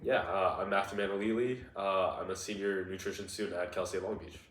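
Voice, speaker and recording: A man's voice: sits low, a little fast, echoey room, distant mic. A young man in his twenties answering interview questions casually, with plenty of "like" and "uh" fillers. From United States. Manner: relaxed, lots of "like" and "uh" fillers. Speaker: interviewee, nutrition student.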